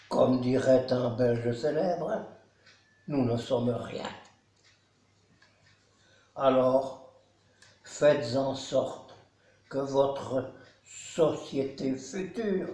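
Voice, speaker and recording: A man in his nineties from Belgium, a care home resident, giving a solemn, measured spoken testimony. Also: medium pitch, a little mellow. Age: 90s. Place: nursing home. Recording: echoey room.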